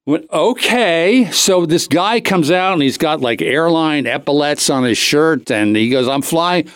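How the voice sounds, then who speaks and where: A man's voice: a little high, lively intonation, a little slow, a bit bright, dry recording. A man hosting a talk show, telling a comic anecdote in a casual, rambling way, cutting himself off and acting out the dialogue. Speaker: show host.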